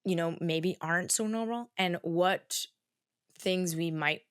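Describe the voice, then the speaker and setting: A woman hosts a podcast in a bubbly, upbeat manner, leaning on "like" as she talks. Her voice is a bit low and sounds smooth and clear. It is recorded close and dry, with no room sound. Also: slow, no room sound. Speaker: podcast host. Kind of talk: casual interview chat.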